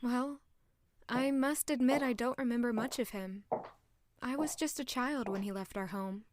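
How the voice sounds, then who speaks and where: An actress playing a young female palace servant who is polite and deferential, speaking softly. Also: a little high, moderate pace, slight background noise, natural voice. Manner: polite, deferential and soft-spoken. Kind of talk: audio drama acting.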